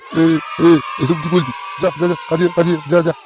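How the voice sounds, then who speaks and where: A man's voice: a little high, fairly even intonation, normal speed, darkish tone, muffled recording, a little hiss or hum, close to an everyday voice. A man voicing a brusque, foul-mouthed older boss who bullies his workers in a comedy cartoon. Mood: flustered bluster. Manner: brusque, scolding. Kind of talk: Bengali comedy cartoon dub.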